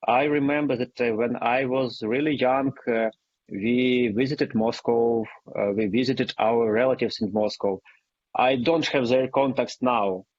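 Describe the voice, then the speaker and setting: A man's voice: mid-range voice, steady tone, measured pace, darkish tone, no room sound, dull audio. A man from Ukraine, a Russian speaker, answering interview questions in English, hesitant and full of fillers. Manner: hesitant, many fillers and restarts. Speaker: guest runner.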